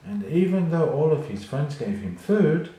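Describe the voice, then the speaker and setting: Very echoey, expressive man reading a storybook. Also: a little high, normal speed. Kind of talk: expressive children's read-along narration. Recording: very echoey room, faint noise.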